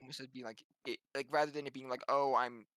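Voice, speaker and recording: A man chatting casually as a tabletop game player, musing his way through questions in a halting way. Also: mid-range voice, fairly even intonation, fairly bright tone, dry recording. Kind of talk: casual chat.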